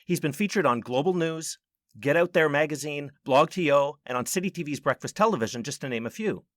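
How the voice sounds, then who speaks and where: A man's voice: a little high, expressive, brisk, a bit bright, no echo, clean studio sound. A man hosting a podcast, presenting and interviewing in a conversational but orderly way. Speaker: podcast host.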